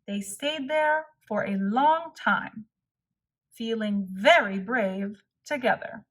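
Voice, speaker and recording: A woman narrating a children's storybook aloud with deliberate pauses and a storytelling delivery. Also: fairly high pitch. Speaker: storybook reader.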